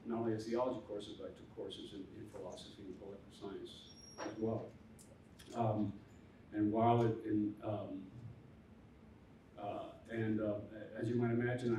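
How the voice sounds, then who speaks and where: An older man, a theologian on a conference panel, telling his story in a halting, earnest way with many restarts. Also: lowish voice, dark tone. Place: conference hall. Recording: echoey hall from far off, faint noise.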